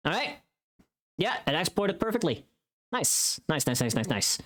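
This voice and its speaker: Streamer with animated, swooping intonation. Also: mid-range voice, normal speed. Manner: informal, profane, thinking aloud. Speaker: livestream host. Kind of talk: casual livestream chat while working.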